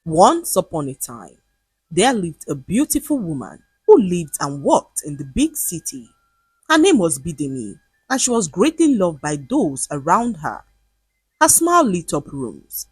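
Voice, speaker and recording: A woman narrating a scripted folktale in an expressive, dramatic storytelling manner. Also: on the low side, unhurried, slightly rough, no room sound, soft background music.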